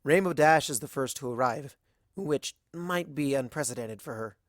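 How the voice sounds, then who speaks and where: A narrator giving an expressive first-person reading of a fan fiction story. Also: mid-range voice, moderate pace, no echo, studio quality.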